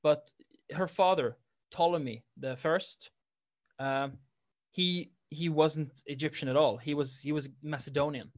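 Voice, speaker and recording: A man's voice: fairly high pitch, steady tone, a little slow. Rambling, laid-back man chatting. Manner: rambling, hedging with fillers, laughs easily. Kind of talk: casual chat.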